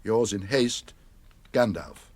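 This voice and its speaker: Slow, portentous old wizard. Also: male voice, on the low side, fairly even intonation. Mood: grave, urgent. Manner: measured, portentous, declamatory. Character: grave, kindly wizard reading out his letter. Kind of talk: classic radio drama, verse recitation.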